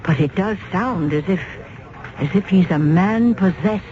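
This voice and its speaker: An actress playing a hesitant, uneasy office secretary in a 1970s radio drama. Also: female voice, lowish voice, measured pace, lightly acted. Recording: dull, hissy old radio recording.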